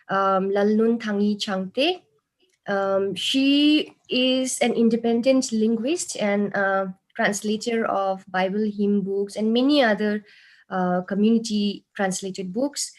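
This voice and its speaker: A woman opens an online session over a video call. She introduces the speaker formally and reads slowly, pausing on fillers. Her voice is mid-pitched. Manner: slow, reading out with fillers. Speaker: session host.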